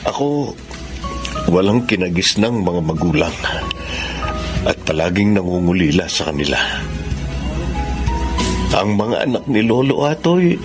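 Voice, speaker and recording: A man with a Filipino accent acting as a gentle, reflective father who earnestly tells his life story in a radio drama. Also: deep voice, big pitch swings, unhurried, long pauses. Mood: wistful, reflective. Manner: earnest, sentimental. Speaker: radio drama actor narrating as the main character. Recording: music bed under the voice.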